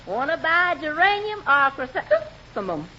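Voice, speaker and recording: A woman's voice: high voice, big pitch swings, moderate pace, noisy background. An actress playing a sassy flower girl sidekick who drawls wisecracks in 1940s radio comedy style. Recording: muffled old radio recording.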